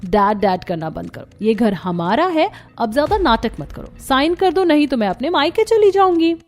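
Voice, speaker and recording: Woman playing a whiny spoiled young wife, high voice, cartoon, faint music. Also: sing-song, moderate pace, darkish tone. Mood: scornful. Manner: bratty whiny nagging.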